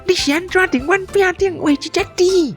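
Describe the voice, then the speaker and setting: A man's voice: extremely high pitch, moderate pace, a bit bright, soft background music, voice pushed up. A man with a Taiwanese accent voicing grumpy, gullible, food-greedy little forest dwarfs in a children's radio play, blunt and indignant.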